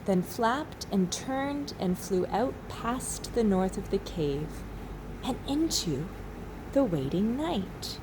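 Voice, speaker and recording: A woman teacher reading a children's storybook aloud expressively, with dramatic pauses. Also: mid-range voice, sing-song, noisy background. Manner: slow, dramatic pauses, asks listeners questions.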